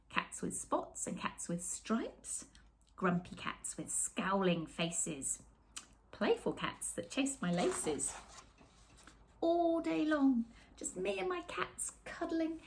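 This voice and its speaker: Animated middle-aged woman reading a storybook. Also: on the high side, slight background noise. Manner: animated, dramatic pauses, drops into whispers. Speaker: children's author reading a picture book aloud. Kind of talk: expressive storytime read-aloud with asides to young viewers. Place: small room with bookshelves, indoors.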